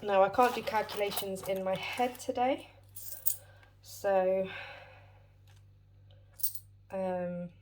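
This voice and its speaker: A woman's voice: medium pitch, slow, long pauses, darkish tone, a little hiss or hum. A British woman hosting a casual budgeting vlog, a bit wheezy and short of breath as she gets over a chest infection, chatty and apologetic with many ums. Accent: British English. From United Kingdom. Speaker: budgeting channel host.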